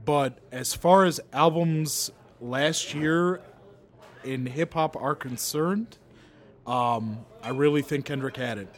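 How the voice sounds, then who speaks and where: A man's voice: a little high, slow. A man who is a guest on a casual podcast and talks at length while hedging his claims, recorded in a hookah bar restaurant. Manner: talkative, hedges with if I'm not mistaken. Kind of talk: casual chat. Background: chatter.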